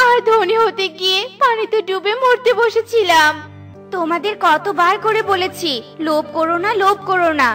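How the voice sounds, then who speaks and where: Lady doing a kind little cartoon bird, really high bright voice, bit bossy, music under. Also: normal speed. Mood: scolding. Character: kind, sensible little tailorbird, gently scolding. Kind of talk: children's cartoon voice acting.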